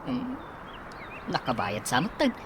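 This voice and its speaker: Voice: mid-range voice, wildly swooping pitch, slow pace, slight background noise. A performer playing a stern male school official who questions a visitor, speaking in a radio drama. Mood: gossiping.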